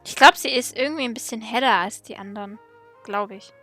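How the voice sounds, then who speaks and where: A woman's voice: a little high, fast talker, sharp tone, soft background music. A woman giving casual game commentary as a streamer.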